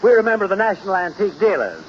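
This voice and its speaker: An actor playing a fussy, eccentric antique shop keeper in a theatrical 1940s radio comedy style. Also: male voice, high voice, big pitch swings, very fast. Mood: chatty, sly. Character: fussy, eccentric male antique shop dealer who crochets. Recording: noisy, dull old radio recording.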